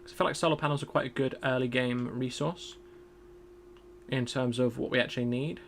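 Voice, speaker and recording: A man streaming a game in casual commentary. He is chatty and self-deprecating, thinks aloud, and has a loud voice. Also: medium pitch, fairly even intonation, brisk, a little hiss or hum.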